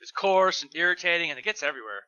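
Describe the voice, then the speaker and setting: Man, sharp bright voice, higher pitch, sarcastic, casual reading. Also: expressive, very fast, smooth, dry recording, highs cut off. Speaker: reader on a comedy show. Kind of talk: read-aloud and casual joking.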